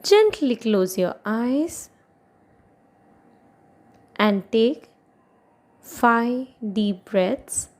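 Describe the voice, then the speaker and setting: A woman with a fairly high voice leads a guided meditation. She speaks extremely slowly, in short soothing phrases, leaving long pauses between each instruction, in the style of a yoga nidra session. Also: lively intonation.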